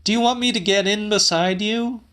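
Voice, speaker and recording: A man's voice: high-pitched, steady tone, moderate pace, a bit bright, clean tone, pitched above the performer's own voice. A man voicing a tearful, impatient young woman in a dramatic audiobook, plaintive and snappish. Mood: indignant.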